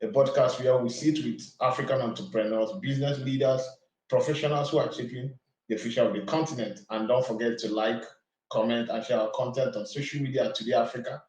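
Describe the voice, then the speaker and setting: A man's voice: medium pitch, steady tone, fairly quick, a little mellow. Man, podcast host, polite formal delivery, roomy echo. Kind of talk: interview hosting.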